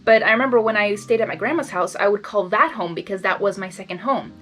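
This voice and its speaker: A young adult woman from Hungary casually reacting as she reads fan fiction aloud, excitable and rambling, often interrupting herself. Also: medium pitch, quick pace, soft background music. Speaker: fan fiction reader and video host. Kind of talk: casual blind reading with personal commentary.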